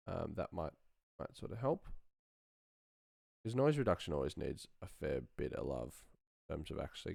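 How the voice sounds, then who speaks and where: A man's voice: sits low, creaky, darkish tone, no room sound, studio quality. A man hosting a casual live stream as a sound designer, rambling and thinking aloud as he works.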